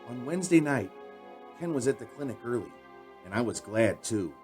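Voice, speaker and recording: A man's voice: mid-range voice, sing-song, moderate pace, a little mellow, faint music behind, lightly acted. A man acting in a radio drama as an earnest, shaken young man recounting a frightening night. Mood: tense recounting.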